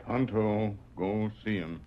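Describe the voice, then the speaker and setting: Casting: loyal western scout sidekick, low and unhurried, terse grunted pidgin, muffled old radio sound. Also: male voice. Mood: stoic. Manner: clipped pidgin phrases, grunted replies. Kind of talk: 1940s radio western acting. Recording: muffled vintage radio recording, faint noise.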